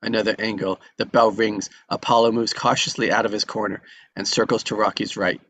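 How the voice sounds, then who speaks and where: A man with a fairly low voice serves as narrator at a table read. He reads out scene descriptions and action from a film script. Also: little pitch movement, a little fast, fairly bright tone.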